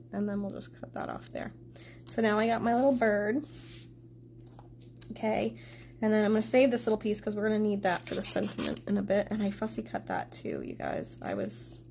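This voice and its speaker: A woman of middle age talks viewers through a card-making demo in a chatty, running commentary. Her voice has a gravelly fry to it, and she sounds a little congested from a lingering sore throat. A faint noise sits under the recording. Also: mid-range voice, darkish tone. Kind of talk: casual craft tutorial.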